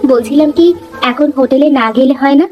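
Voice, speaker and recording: A woman's voice: sits high, steady tone, fairly quick, muffled recording, soft background music, lightly acted. A woman voicing a dutiful, caring young village wife and daughter-in-law in a cartoon, with a polite, homely manner. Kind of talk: cartoon voice acting.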